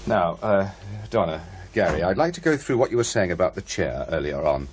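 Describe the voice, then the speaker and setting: An actor playing a polite, probing investigator in a radio drama, with a tentative, questioning manner. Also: male voice, low-pitched, sing-song, fairly quick, slight background noise. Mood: businesslike. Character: probing, polite male investigator, eager and uneasy. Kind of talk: naturalistic radio drama dialogue.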